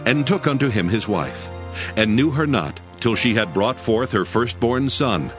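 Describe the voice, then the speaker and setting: A man narrating scripture from a script in a solemn, expressive style. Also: medium pitch, unhurried. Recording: music behind the narration. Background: music.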